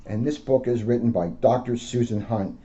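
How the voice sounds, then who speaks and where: A man, a police officer, reading aloud to children in simple, deliberate phrases. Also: mid-range voice, mellow, a little hiss or hum. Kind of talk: read-aloud storytelling with casual asides. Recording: dull lo-fi sound.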